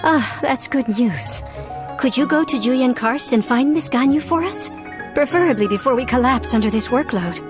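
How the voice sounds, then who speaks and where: Polite overworked secretary in a game, fairly high voice. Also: female voice, expressive, normal speed, music in the background. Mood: weary, relieved. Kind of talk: video game voice acting.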